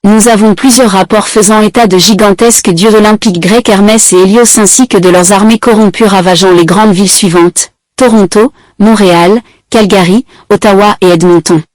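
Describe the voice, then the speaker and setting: A woman's voice: medium pitch, fairly even intonation, a little fast, few pauses, a little mellow, no echo. Female emergency announcer, medium register, scripted civil warning, no room sound at all.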